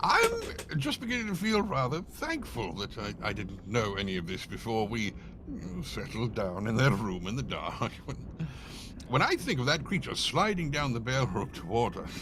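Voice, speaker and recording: A man's voice: medium pitch, wildly swooping pitch, normal speed, noisy background. A man acting a stammering, befuddled radio sidekick. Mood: hesitant, uneasy. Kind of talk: live old-time radio drama acting.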